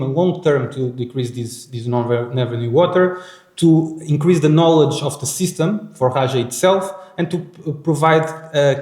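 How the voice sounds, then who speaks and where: A man from Portugal with a Portuguese accent, giving a technical conference talk in halting English with many fillers and restarts. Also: on the high side, darkish tone, reverberant. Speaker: conference presenter.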